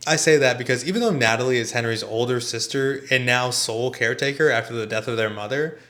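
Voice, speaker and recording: A man's voice: medium pitch, fast talker, bright, forceful tone. A man delivering a scripted, comedic movie review with a sarcastic manner. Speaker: video essayist and film reviewer.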